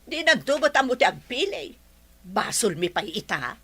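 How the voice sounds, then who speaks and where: A woman's voice: on the high side, big pitch swings, moderate pace, bright, forceful tone, breathy edge, exaggerated voice. A woman playing a stubborn, defensive mother who complains about her son in a radio comedy drama.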